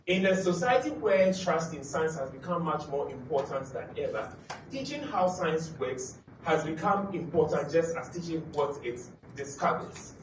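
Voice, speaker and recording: A man, a graduate student, presenting his thesis in an earnest, measured way at a competition. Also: a little high, expressive, roomy sound, far from the mic, noisy background. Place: auditorium.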